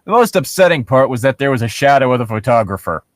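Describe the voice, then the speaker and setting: A man's voice: medium pitch, animated, quick pace, a little hiss or hum. A man co-hosting a comedy podcast, casually riffing on horror stories in a profane, sarcastic, ranting way. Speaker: podcast co-host.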